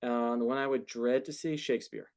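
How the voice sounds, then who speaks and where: A man's voice: mid-range voice, steady tone, brisk, a little mellow, clear, resonant tone. A man in his forties answering questions informally as a guest speaker in front of a class. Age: 40s. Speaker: guest speaker, entertainment business founder.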